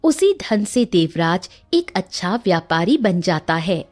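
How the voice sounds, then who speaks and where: A woman's voice: lowish voice, sing-song, unhurried, a little mellow, soft background music. A woman narrating a scripted cartoon moral story as its storyteller.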